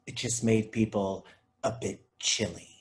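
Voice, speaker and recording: A man's voice: on the low side, fairly even intonation, measured pace, natural voice. Goofy, chatty male video store clerk, acted. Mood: matter-of-fact. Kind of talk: table read of a comedy TV pilot.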